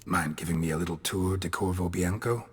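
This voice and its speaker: An actor with an American accent playing a gruff, stoic, middle-aged monster slayer in a fantasy video game, speaking in clipped, deadpan lines with a gravelly edge. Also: male voice, low-pitched, a little fast. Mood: curious. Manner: laconic, deadpan.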